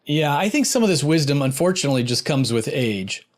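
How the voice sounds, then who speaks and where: A man's voice: on the high side, very animated, fairly quick. A middle-aged man hosting a podcast, presenting and interviewing in a conversational way. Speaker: podcast host interviewing a guest. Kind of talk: scripted intro and conversational interviewing.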